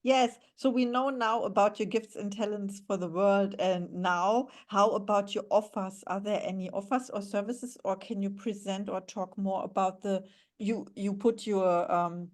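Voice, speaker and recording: A woman's voice: mid-range voice, steady tone, measured pace. German lady podcast host, friendly, laughs a bit, mid voice. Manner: warm, thankful, with light laughs. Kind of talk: conversational interview.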